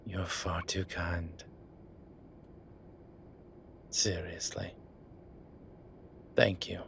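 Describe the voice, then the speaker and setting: A man playing a polite, flirtatious incubus demon in an intimate roleplay audio drama, with hesitant, formal phrasing and a softness that turns breathy at times. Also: sits low, level tone, extremely slow, slight background noise, heavily acted. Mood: sheepish, apologetic. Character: courteous, flirtatious male incubus demon, restrained and apologetic.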